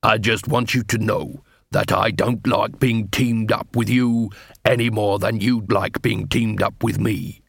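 A man voicing a grumbling dwarf watchman with a deliberate, instructive way of talking in a fantasy story. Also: lowish voice, steady tone, gravelly, husky, no echo, studio quality, heavily acted. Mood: grudging.

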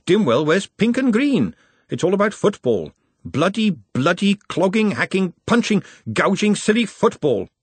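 A man's voice: medium pitch, sing-song, normal speed, breathy edge, no echo, pitched above the performer's own voice. A man voicing a blunt, sardonic working-class woman in an audiobook, with a dry, bitter manner.

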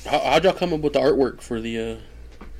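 A man's voice: medium pitch, normal speed, darkish tone. A man visiting as a podcast guest, curious and asking questions in casual chat. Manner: curious, asks lots of questions.

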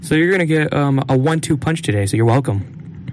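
A man's voice: medium pitch, animated, a little fast, a little hiss or hum. Young guy podcast cohost, rambly and jokey, laughs a lot, small studio mic. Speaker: podcast co-host. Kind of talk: casual chat.

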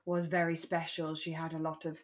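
A woman's voice: low-pitched, completely flat delivery, fairly quick. A woman chatting casually as a podcast co-host, recapping a radio drama in a conversational way. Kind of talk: relaxed chat discussing a radio drama.

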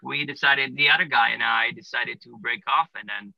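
A man's voice: mid-range voice, normal speed, vocal fry, pushed and bright, no echo, rough recording. A man from Italy with an Italian accent, a plumbing business owner answering interview questions casually, with many fillers. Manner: explains step by step with many "uh" and "you know" fillers.

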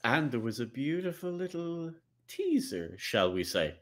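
Wry man in casual chat, mid-pitched. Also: very animated, a little slow. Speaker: co-host discussing a radio drama episode.

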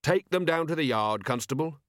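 An earnest police captain, as a male audiobook reader plays him, courteous and unflappable. The voice sits raised in pitch and is recorded dry and close. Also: animated, normal speed, a bit bright, no echo. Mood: calm, firm. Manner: very polite, level, earnest. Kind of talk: audiobook character voice.

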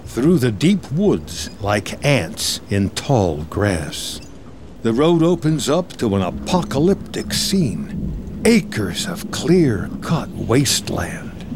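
A male narrator reads a dramatic screenplay in present tense with lively, varied pitch. Loud ambient noise and sound effects run behind his voice.

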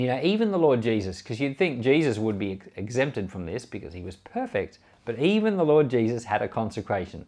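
A man speaking as a pastor, giving informal Bible commentary in an earnest, conversational, explanatory way. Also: mid-range voice. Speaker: pastor and Bible podcast host.

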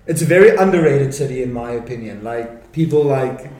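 A man's voice: medium pitch, animated, fairly quick, darkish tone. A man chatting casually on a podcast in a laid-back way, full of filler words. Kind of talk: casual chat. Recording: echoey room.

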